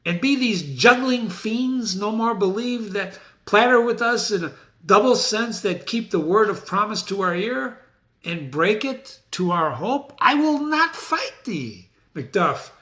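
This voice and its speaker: A man's voice: high-pitched, expressive, unhurried, pushed and bright, voice pushed up, full character voice. A man playing Macbeth, a proud, defiant, doomed warrior king, declaiming archaic verse. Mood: despairing defiant.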